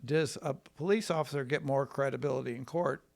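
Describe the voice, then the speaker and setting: An American man from Wisconsin, a trial lawyer telling his story in a casual, rambling, folksy and halting way. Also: medium pitch, creaky. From Wisconsin, United States.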